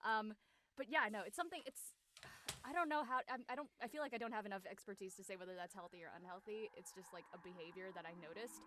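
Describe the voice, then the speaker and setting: A woman's voice: mid-range voice, fast, bright, forceful tone, slight background noise. A woman in casual discussion, thinking aloud conversationally and often saying "like".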